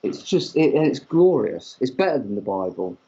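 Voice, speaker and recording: A young man gushes over his favourite topic, tripping over restarts as his enthusiasm runs ahead of his words. His voice is husky, heard through a dull, lo-fi mic. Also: mid-range voice, sing-song, normal speed, mellow. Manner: enthusiastic, rambling, stumbling restarts. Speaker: podcast co-host. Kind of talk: casual chat between fans.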